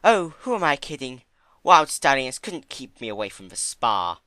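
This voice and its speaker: A man's voice: medium pitch, very animated, normal speed, bright, forceful tone, dry recording, pitched above the performer's own voice. Man putting on a refined, dramatic lady pony. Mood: playful. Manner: affected, theatrical. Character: Rarity, refined, vain, dramatic female pony. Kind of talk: dramatic fan fiction reading.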